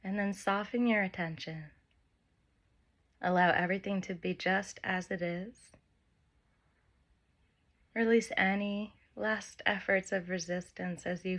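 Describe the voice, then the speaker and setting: Slow, even-toned woman guiding meditation. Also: mid-range voice. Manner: unhurried, gentle phrasing with long pauses. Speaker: meditation guide. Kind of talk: guided meditation, soft spoken instruction. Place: by the open air with wind and birds.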